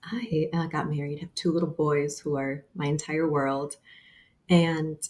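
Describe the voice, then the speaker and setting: A woman's voice: low voice, little pitch movement, measured pace, a little mellow, slight background noise. A woman in her thirties with a Bosnian accent, talking earnestly as a guest on a remote interview, often using fillers. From Bosnia and Herzegovina. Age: 30s. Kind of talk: casual interview.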